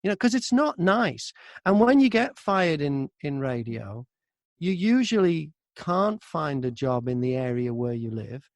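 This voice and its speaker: A middle-aged man, a broadcaster and narrator, telling stories in a casual, animated, wry interview style. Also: on the high side, measured pace, dry recording, clean studio sound. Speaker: audiobook narrator and radio broadcaster.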